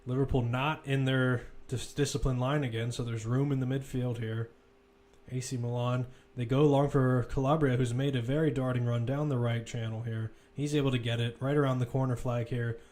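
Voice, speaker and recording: A man's voice: mid-range voice, fairly even intonation, normal speed, darkish tone. Man calling live football play-by-play. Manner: running present-tense description in clipped phrases. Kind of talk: live sports play-by-play commentary.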